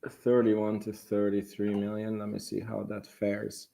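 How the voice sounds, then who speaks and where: A man giving his views as a guest analyst in a casual discussion, reasoning aloud haltingly and repeating words. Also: fairly low pitch, completely flat delivery, gravelly, mellow.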